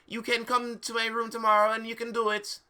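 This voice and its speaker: A man's voice: very high voice, completely flat delivery, brisk, pushed and bright, smooth, pitched above the performer's own voice, full character voice. A man voicing a melodramatic female professor and fortune-teller from a story, urgent and theatrically solemn. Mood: solemn, commanding.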